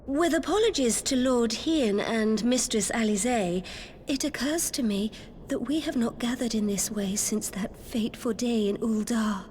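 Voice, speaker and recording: An actress playing a dignified, gracious ruler in a video game, regal, formal and composed. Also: female voice, fairly high pitch, a little slow, darkish tone, close to an everyday voice. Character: dignified, gracious female ruler presiding over a council. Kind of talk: video game cutscene acting. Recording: long hall reverb, faint background noise.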